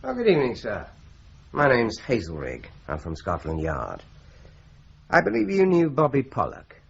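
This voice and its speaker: An actor playing a polite, measured police inspector in a radio drama. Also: male voice, medium pitch, huge pitch swings, gravelly. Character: calm, courteous Scotland Yard inspector, man. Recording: dull lo-fi radio recording.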